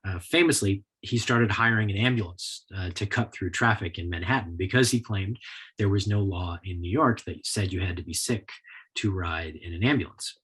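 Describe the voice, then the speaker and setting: A man giving a prepared history lecture in a measured, explanatory way, with a husky voice. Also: lowish voice, fairly bright tone.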